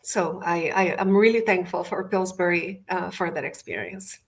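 Brief: middle-aged woman, Portuguese-native Brazilian accent, lively storyteller, interview guest. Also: lowish voice, moderate pace. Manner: enthusiastic storyteller. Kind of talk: interview conversation. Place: home office.

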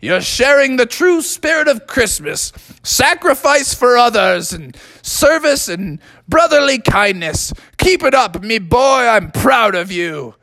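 A man's voice: very high-pitched, sing-song, slow, sharp tone, no room sound, voice pushed up, exaggerated voice, low-quality recording. A man voicing a proud, kindly father frog in a children's story, hearty and approving.

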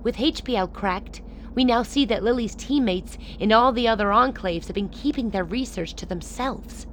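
A woman's voice: on the high side, moderate pace, slight background noise, close to an everyday voice. A woman acting in a radio drama as a hard, sardonic chairwoman who commands with blunt authority. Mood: bitter, challenging.

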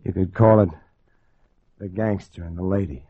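A man's voice: low-pitched, steady tone, moderate pace, loud music behind the voice. A man playing a cocky, wisecracking small-time gangster and ladies' man in a 1940s radio crime drama, with slangy tough-guy talk. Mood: wry, reflective. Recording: muffled old radio broadcast.

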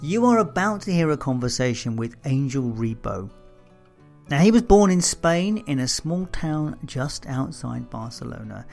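A man hosting a podcast, reading out a guest introduction in a measured, emphatic way. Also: mid-range voice, sing-song, creaky, darkish tone, soft background music. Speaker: podcast host. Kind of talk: scripted intro then interview.

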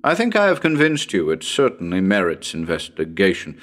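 Man as a wry, low-voiced radio ghost-hunt presenter. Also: wildly swooping pitch. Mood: genial, wry. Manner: measured, wry, formal. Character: genial, well-spoken male radio presenter hosting a ghost hunt. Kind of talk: dramatic reading as live radio broadcast.

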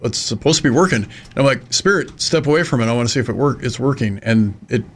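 Mid-pitched man telling casual anecdotes. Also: animated, fast talker. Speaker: paranormal investigator guest.

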